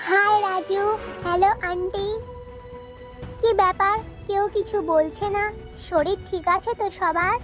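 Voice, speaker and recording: A friendly young cartoon woman played by a woman with an extremely high, girlish pitch. She is earnest and expressive, and music runs beneath her voice. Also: unhurried, long pauses. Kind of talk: children's cartoon voice acting.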